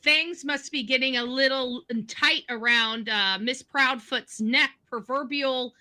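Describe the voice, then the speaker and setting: A woman's voice: high-pitched, unhurried, bright, forceful tone. A woman streamer chatting casually to her viewers in a theatrical, exclamatory way. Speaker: online livestreamer. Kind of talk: casual livestream chat.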